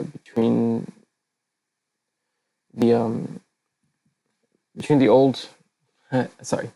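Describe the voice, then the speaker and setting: A man's voice: mid-range voice, gravelly, warm tone, low-quality recording. A man presenting a casual slide lecture over a voice channel, hesitant, with many fillers and self-corrections. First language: not Kazakh. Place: online voice channel talk.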